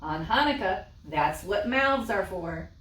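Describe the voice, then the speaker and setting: A wise village rabbi from a children's storybook, read out by a woman, who gives decisive, exclaimed instructions. The delivery is unhurried, and the sound is roomy and set back from the microphone. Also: medium pitch, animated, fairly bright tone. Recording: echoey room, far from mic.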